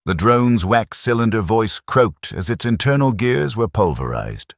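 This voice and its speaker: A man narrating a science fiction audiobook in a dramatic, measured delivery. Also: fairly low pitch, big pitch swings, dry recording, clean studio sound.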